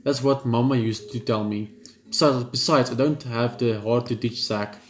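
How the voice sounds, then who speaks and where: A man reading a horror visual novel aloud in the first person, steady but often stumbling and restarting lines. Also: mid-range voice, normal speed, slight background noise. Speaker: gaming channel host. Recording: roomy room with loud game music.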